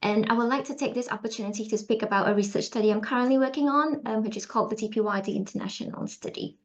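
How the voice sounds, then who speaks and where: A woman's voice: on the high side, quick pace. A woman with a Singaporean accent giving an online forum presentation as a researcher, chatty and full of ums. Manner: chatty, many ums, laughs lightly. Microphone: video call.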